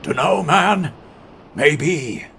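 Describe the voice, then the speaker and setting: A man's voice: a little high, lively intonation, drawn-out words, vocal fry, bright, forceful tone, a little hiss or hum, exaggerated voice. A man voicing a goofy, gushing alien surfer dude for an audio story, in exclamatory slang. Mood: sheepish. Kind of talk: audio fiction character acting.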